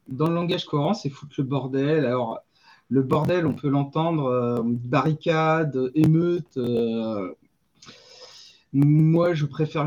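A man's voice: mid-range voice, a little mellow, dull audio. A man from Lyon, a native Arabic speaker, talking bluntly as a panel guest, hesitating often. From Lyon, France. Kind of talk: casual panel discussion.

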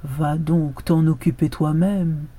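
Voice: mid-range voice, steady tone, slow pace, dark tone. A storyteller voicing a proud thoroughbred horse who refuses with contempt. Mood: disdainful. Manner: whinnying, lofty and contemptuous. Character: noble racehorse, vain and disdainful.

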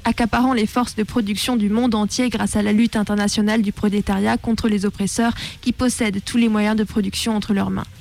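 A woman's voice: fairly high pitch, darkish tone. A woman formally reading a political text aloud on radio, occasionally stumbling. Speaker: reader on a radio show.

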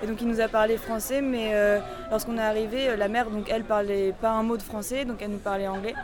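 Teen girl, student, chatty, fast, fillers, crowd outdoors. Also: a little high, steady tone. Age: teens. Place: ferry deck outdoors.

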